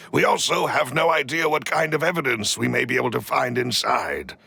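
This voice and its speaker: An actor playing a grave, loyal butler in a video game, speaking formally and deferentially with a gravelly edge. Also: male voice, medium pitch, pushed and bright, a little hiss or hum, heavily acted. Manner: formal, deferential, measured explaining. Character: loyal, grave male butler and shrewd confidant. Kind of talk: dramatic video game cutscene acting.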